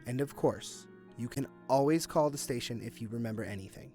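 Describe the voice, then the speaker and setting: A man's voice: medium pitch, brisk, mellow, soft background music, lightly acted. A man playing a cynical, impatient police detective in an audio drama, with a sarcastic, emphatic way of talking. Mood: grave, courteous. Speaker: voice actor.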